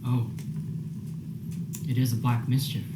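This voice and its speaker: A man playing a weary, evasive Puritan farmer husband in a radio drama, speaking haltingly and repeating his words. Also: medium pitch, level tone, very noisy, lightly acted. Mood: reluctant. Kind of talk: period radio drama acting.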